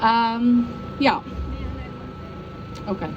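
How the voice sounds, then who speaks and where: A woman from Turkey delivers a personal, emotional speech as a peace activist. Her voice is high and earnest. The recording is dull and muffled, with some faint noise. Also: very slow. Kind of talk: prepared public speech, personal testimony.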